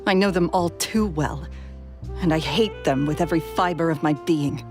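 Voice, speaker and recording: A woman's voice: sits low, moderate pace. An actress playing a wary, bitter, defensive woman in a video game. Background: music.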